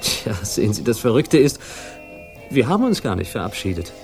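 An actor playing a naive young upper-class man, vain and easily offended, in a halting 1960s German radio crime drama performance. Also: on the low side, very animated, a little mellow, faint music behind. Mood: rueful, hesitant. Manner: hesitant, halting, affronted.

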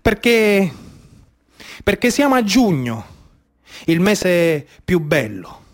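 A man's voice: high-pitched, big pitch swings, slow. A man with a Sicilian accent performing a dramatic theatrical monologue in dialect, rhythmic and incantatory, with repeated phrases. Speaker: theatre storyteller and actor.

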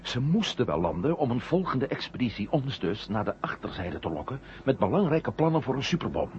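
An actor playing a reassuring, insistent astronaut commander in a 1970 radio drama. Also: male voice, mid-range voice, very animated, brisk, darkish tone, natural voice. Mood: reassuring, urgent. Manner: reassuring, insistent, repeats himself. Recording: dull, noisy 1970 radio drama recording.

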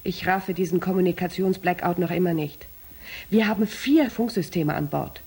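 Female astronaut: strongly raspy, lowish voice, suspicious grumbler, sci-fi radio play. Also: moderate pace, a little mellow. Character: suspicious, uneasy female astronaut crew member. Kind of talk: science fiction radio drama acting.

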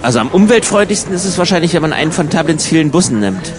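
A man's voice: on the high side, big pitch swings, fast talker, noisy background. A man playing a matter-of-fact young emigrant and know-it-all travel companion in a radio play. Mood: dry, explanatory. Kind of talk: hobby radio play dialogue and narration.

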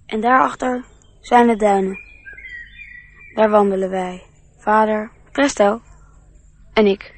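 A woman's voice: mid-range voice, fairly even intonation, slow pace, long pauses, slight background noise. A woman playing a dreamy, earnest little boy in a Dutch radio play, speaking in a childlike way. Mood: proud, dreamy.

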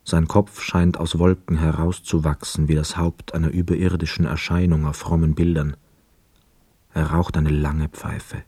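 A pensive war veteran telling his story in a radio play. His deep, dark voice is measured and brooding, recorded dry and close. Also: level tone, slightly rough, dry recording. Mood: pensive, observing. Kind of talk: radio play first-person narration.

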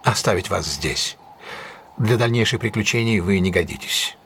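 An actor playing a self-assured gentleman detective in an audio drama, decisive, courteous and authoritative. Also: on the low side, expressive, moderate pace, a little hiss or hum. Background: music.

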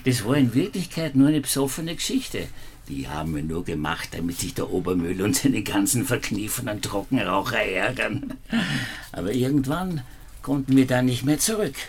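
An actor playing a mocking, boisterous old care-home resident in a radio drama, over noisy ambience. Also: male voice, lowish voice, sing-song, moderate pace, husky, exaggerated voice. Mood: amused.

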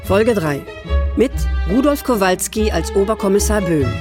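A woman announcer reads scripted credits for a radio drama. Her voice is medium in pitch. Orchestral music with violin plays clearly behind her. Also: expressive, normal speed.

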